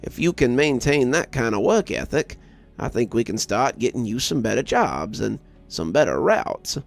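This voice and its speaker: A man plays an earnest, fair-minded trucking boss in an audio story. He is plainspoken and measured, with a gravelly voice over background music. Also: medium pitch, big pitch swings, pitched below the performer's own voice. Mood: sincere, approving.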